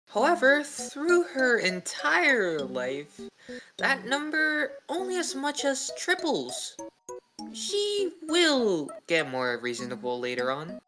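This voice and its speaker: A woman playing an odd, all-knowing sibling who recites facts with deliberate exactness. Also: sits high, sing-song, over music, full character voice, rough recording. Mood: matter-of-fact.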